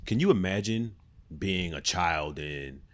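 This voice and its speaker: A young man co-hosting a podcast, making his point in a calm, measured way. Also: low voice. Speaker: podcast co-host. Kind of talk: casual chat.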